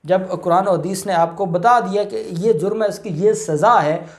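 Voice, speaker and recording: Pakistani guy, lawyer explaining stuff in an interview, kinda high voice, repeats himself, drops english legal words. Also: moderate pace. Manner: explanatory, repeats key phrases, mixes in English legal terms. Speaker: lawyer, guest expert. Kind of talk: informal legal explanation in question-and-answer show.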